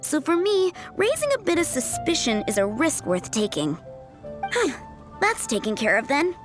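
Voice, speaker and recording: An earnest young woman speaks in a high, expressive game-character voice. She is courteous and devoted, apt to gush, with faint music behind. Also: measured pace, heavily acted. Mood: resolved. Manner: polite, earnest, gushing. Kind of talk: video game character acting.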